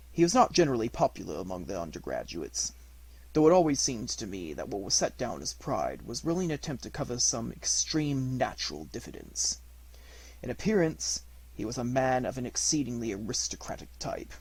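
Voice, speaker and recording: A man reading a detective story aloud as an amateur audiobook narrator, in an expressive manner. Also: medium pitch, vocal fry, slightly rough, low-quality recording.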